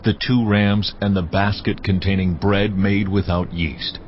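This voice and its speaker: A solemn, commanding God played by a man speaks in a low voice for an audio scripture drama. The sound is slightly muffled, with audible noise behind the measured delivery. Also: flat delivery, lightly acted.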